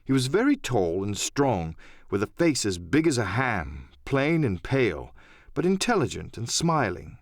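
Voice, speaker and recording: Guy reading an adventure story, dramatic and lively, medium pitch, very dry close sound. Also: a little slow, no echo. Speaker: audiobook narrator. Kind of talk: scripted first-person storytelling, dramatic.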